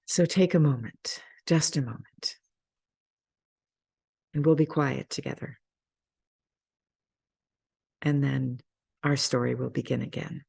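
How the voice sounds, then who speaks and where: A person speaking reflectively in a sermon-like style, with measured, deliberate phrasing and pauses. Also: medium pitch, vocal fry, darkish tone, husky. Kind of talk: reflective spoken introduction to a story, sermon-like.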